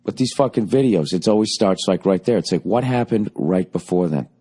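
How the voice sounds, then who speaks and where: Profane ranting man, a bit hoarse. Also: low-pitched, animated, a little fast, mellow. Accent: Boston. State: voice getting hoarse. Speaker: podcast host. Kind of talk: rambling comic monologue.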